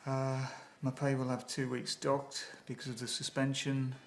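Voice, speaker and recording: Subdued, hesitant middle-aged dad played by an actor. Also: male voice, medium pitch, monotone, moderate pace, close to an everyday voice. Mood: subdued, distracted. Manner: hesitant, terse. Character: subdued, withdrawn father returning to work after suspension. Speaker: actor in a workplace safety drama. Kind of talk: naturalistic film drama dialogue.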